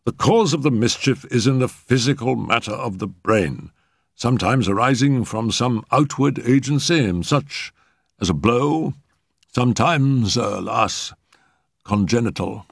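A man voicing a pompous, genial eminent male doctor who lectures in a measured, emphatic way in a storybook reading. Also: on the low side, very animated, creaky, no echo, studio quality, voice pushed up, full character voice. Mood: dry, instructive.

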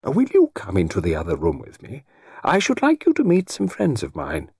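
A man voicing a courteous, fussy older gentleman in an audiobook, with old-fashioned, hesitant politeness. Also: lowish voice, huge pitch swings, moderate pace, creaky, slightly rough, no room sound, clean studio sound, full character voice. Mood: flustered, delighted.

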